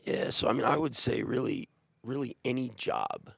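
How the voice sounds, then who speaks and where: A man's voice: mid-range voice, moderate pace, gravelly, a bit bright, husky, no echo. A man who is sick and losing his voice, answering viewer questions about living abroad in a casual, rambling way. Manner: rambling, many restarts and fillers. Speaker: real estate agent answering viewer questions. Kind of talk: casual Q&A livestream.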